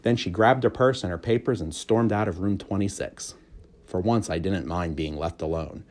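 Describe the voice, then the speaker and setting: A man reading a children's book aloud as an expressive narrator. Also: deep voice, normal speed. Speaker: storybook reader.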